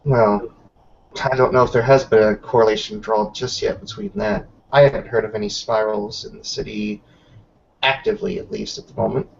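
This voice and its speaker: A man's voice: fairly low pitch, steady tone, moderate pace, vocal fry, highs cut off, a little hiss or hum. A man with a hesitant, wry way of talking, improvising and narrating in a roleplaying game. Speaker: player in an online roleplaying session.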